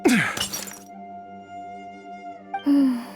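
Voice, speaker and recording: A man playing a gentle, self-sacrificing dying husband and father in a video game, earnest, halting and resigned. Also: extremely high pitch, wildly swooping pitch, exaggerated voice. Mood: tender farewell. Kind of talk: video game character acting. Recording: background music under voice.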